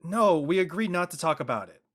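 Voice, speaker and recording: A man's voice: sits high, lively intonation, fairly quick, a bit bright. An actor playing an evasive, awkward teenage boy in casual drama dialogue.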